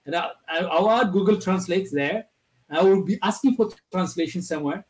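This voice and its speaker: A man's voice: high-pitched, normal speed. A man from Ethiopia with an Ethiopian accent, Amharic his first language, telling a story in a loose, rambling way over a video call. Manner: rambling, restarting phrases. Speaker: panel speaker. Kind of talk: casual storytelling in a discussion.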